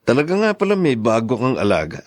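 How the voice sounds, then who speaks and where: A man's voice: medium pitch, huge pitch swings, fast, no room sound, clean studio sound. An actor playing an older brother in a radio drama, lecturing his sister with reproach. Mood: reproachful.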